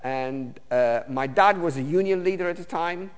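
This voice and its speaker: A man from Romania, preaching a personal testimony sermon in a halting way, with many fillers and restarts. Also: a little high, smooth. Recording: long reverb of a hall.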